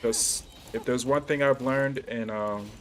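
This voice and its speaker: A man's voice: mid-range voice, steady tone, a little slow, slight background noise. A young man talking casually to his viewers while livestreaming a video game. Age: young adult.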